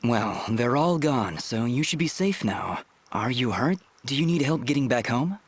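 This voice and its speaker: A man's voice: medium pitch, moderate pace, breathy edge. A man voicing an earnest, kind but wry young swordsman hero in a video game. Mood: reassuring. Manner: calm, wry, reassuring. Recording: faint game ambience behind the voice.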